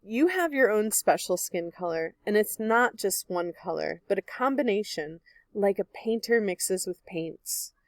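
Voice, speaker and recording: A woman reads a picture book to young children in the manner of a teacher. Her voice sits at a medium pitch and she speaks clearly and expressively. The sound is dry, with very little room in it. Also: expressive, a little slow, no echo. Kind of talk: children's read-aloud narration.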